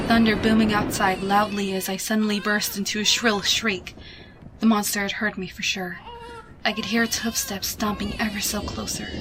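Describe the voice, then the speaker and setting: A woman giving a hushed, expressive, dramatic reading of a suspense story, with music behind her. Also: medium pitch, noisy background. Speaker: fan fiction reader.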